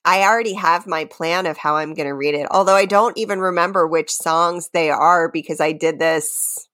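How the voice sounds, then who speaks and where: A woman co-hosting a comedy podcast in casual chat, playful and teasing, quick to laugh. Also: lowish voice, steady tone, a bit bright, no echo, studio quality. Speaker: podcast co-host.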